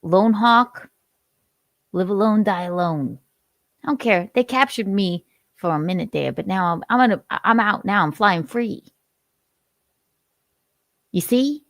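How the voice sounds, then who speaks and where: A woman's voice: mid-range voice, unhurried, long pauses, no room sound, studio quality, lightly acted. A woman voicing a violent, unrepentant male hawk in his forties who boasts about attacking bald men. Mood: menacing. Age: 40s. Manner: gleeful, unrepentant, menacing boasts.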